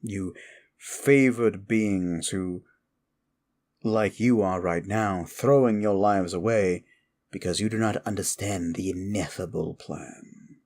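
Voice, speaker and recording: A man's voice: deep voice, takes it slowly, a little mellow, exaggerated voice. A man voicing a sardonic, seductive demon of desire in a comfort ASMR roleplay, teasing and musing without hurry. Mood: darkly amused.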